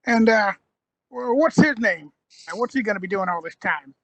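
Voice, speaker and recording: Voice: mid-range voice, lively intonation, normal speed, fairly bright tone. An actor playing a confident, sceptical young man in an audio drama, blunt and wry. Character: confident, sceptical young male adventurer Steven.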